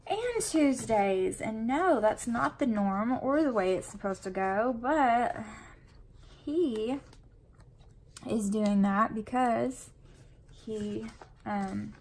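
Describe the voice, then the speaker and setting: A woman with a gravelly, creaky voice and a stuffy, sniffly nose chats casually while she works on her planner. She rambles and fills her talk with ums and likes. She sounds like she is at home. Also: fairly high pitch, animated, slow pace, a little mellow, a little hiss or hum. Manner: rambling and chatty, full of fillers. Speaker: planner vlogger and mom.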